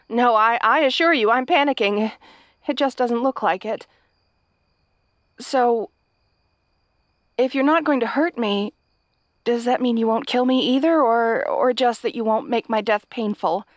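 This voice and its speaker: A woman's voice: on the high side, no room sound, studio quality, lightly acted. A woman playing a kind, hapless young princess in a fantasy audio roleplay, talking to the listener in a nervous, stammering, chatty way. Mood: nervous, rattled. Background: night sounds with crickets.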